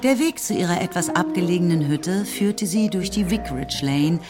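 Low-voiced woman narrating a novel. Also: animated. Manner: measured storytelling delivery. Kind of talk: scripted literary narration. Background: music.

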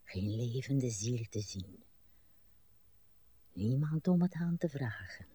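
Radio play role: tired elderly wife, low dark voice, extremely drawn-out delivery, mournfully lyrical. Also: female voice, animated, husky, full character voice. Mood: weary, mournful. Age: older. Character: weary old wife, plaintive, slow and mournfully lyrical. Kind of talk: literary radio drama acting.

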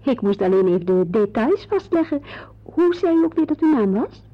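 An actress playing a polite but officious office receptionist in a radio drama, formal and questioning in manner. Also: female voice, on the high side, big pitch swings, moderate pace. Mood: polite, placating. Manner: formal, questioning, echoes the caller's words. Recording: dull, lo-fi old radio play recording.

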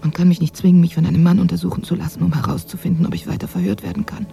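An actress playing a frail, bitter older woman, once a prisoner, speaking wearily and haltingly in a German radio drama. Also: on the low side, steady tone, vocal fry, warm tone, husky, exaggerated voice. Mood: bitter, weary. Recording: music behind the voice.

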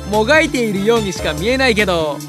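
Audio drama casting: actor as cheerful, carefree kid brother, boyish and easygoing, animated delivery, music underneath. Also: medium pitch, fast talker, close to an everyday voice. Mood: calm, teasing. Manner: easygoing, casual boyish speech. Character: carefree, adventurous younger brother, cheerful boy. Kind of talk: anime-style audio drama acting.

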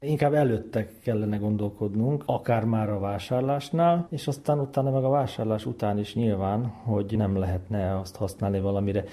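Very dark-voiced, low-pitched man. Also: hardly any pauses. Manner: conversational, argumentative. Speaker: university lecturer, expert interviewee. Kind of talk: explanatory interview talk.

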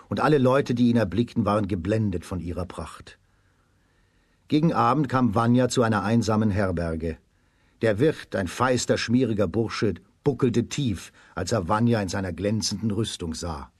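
A man narrates a children's fairy tale from a script. His voice is low and husky, recorded very dry and close. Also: normal speed, no room sound.

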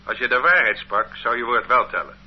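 A man's voice: mid-range voice, brisk, slight background noise, close to an everyday voice. An actor playing a stern male state police interrogator in a radio play, cold and accusing. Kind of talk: radio drama acting. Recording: dull lo-fi old radio recording.

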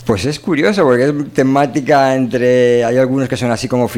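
A man with a European Spanish accent chatting colloquially as a podcast host, halting and repeating words as he searches for phrases. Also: mid-range voice, steady tone, a little mellow. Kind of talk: casual chat.

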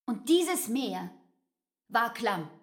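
High, swooping, bitter young barmaid. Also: female voice, very animated, drawn-out words, fairly bright tone, heavily acted. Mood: bitter, emphatic. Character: young barmaid bitterly repeating accusations to her lover. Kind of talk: radio play acting over music.

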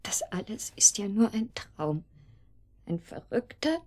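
A distraught lover with a husky, breathy voice. Her delivery is theatrical and broken into fragments, pleading and defiant, as in an intense radio play. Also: mid-range voice, big pitch swings, slow pace, mellow. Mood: frightened, pleading. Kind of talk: German radio drama acting.

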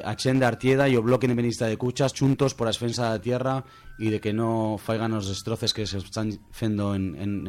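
A man presenting semi-scripted radio listings, going through them hesitantly and sometimes repeating words. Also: mid-range voice. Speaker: radio show contributor presenting music and festival picks.

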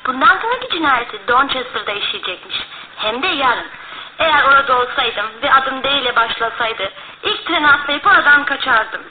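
An actress in a radio play playing a polite, earnest, slightly anxious woman with an expressive delivery. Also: sits high, moderate pace. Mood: concerned. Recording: noisy, lo-fi, phone-like old radio broadcast.

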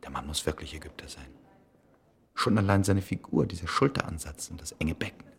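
A man acting a troubled, brooding scholar's inner monologue in a radio play, with a gravelly voice. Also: very deep voice, brisk, full character voice. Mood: intrigued, musing. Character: troubled, brooding male scholar absorbed in his thoughts.

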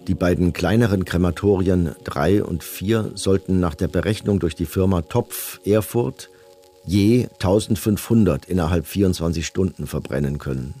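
Deep, husky, detached male official. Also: normal speed, dark tone, faint music behind. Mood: detached, formal. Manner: formal, detached, bureaucratic. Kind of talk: scripted documentary radio drama reading.

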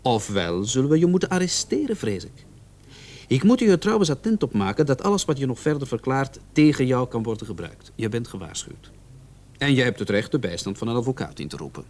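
Sarcastic police interrogator, medium-pitched. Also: male voice, sing-song, fairly quick, darkish tone. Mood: threatening, official. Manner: mocking, condescending. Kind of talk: radio drama acting.

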